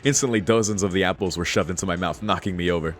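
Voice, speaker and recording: A man's voice: deep voice, quick pace, faint music behind. A man streaming a game, reading its text aloud and reacting casually with slangy exclamations and swearing. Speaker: gaming streamer reading a visual novel aloud.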